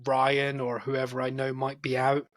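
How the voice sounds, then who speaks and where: A man's voice: mid-range voice, completely flat delivery, unhurried. A man, hosting a podcast and chatting in a rambling, self-deprecating way. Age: 40s. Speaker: podcast host. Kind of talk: casual chat.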